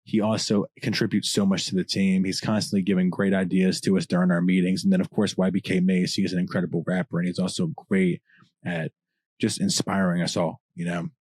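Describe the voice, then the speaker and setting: A man with a deep voice, a rapper talking as a podcast guest, passionate, swearing often and rambling in long answers. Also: level tone, a little fast, darkish tone, slightly rough, dry recording, clean studio sound. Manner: passionate, profane, long-winded. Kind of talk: casual candid chat.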